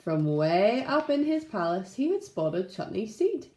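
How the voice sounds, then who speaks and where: Expressive woman reading slowly in a roomy space. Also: medium pitch. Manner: expressive, addressing young children. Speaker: primary school teacher reading to class. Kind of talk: children's storybook read-aloud. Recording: roomy, echoing room sound.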